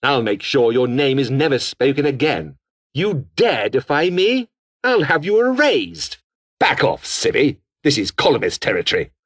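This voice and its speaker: A man's voice: mid-range voice, sing-song, normal speed, a bit bright. A male actor voicing a menacing, foul-mouthed revolutionary foot soldier with a British accent, taunting and theatrical in video game barks. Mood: menacing, rallying.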